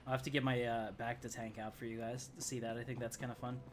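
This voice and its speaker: A man's voice: medium pitch, little pitch movement, fast talker. A man streaming a video game, giving casual live commentary as he plays. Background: game music.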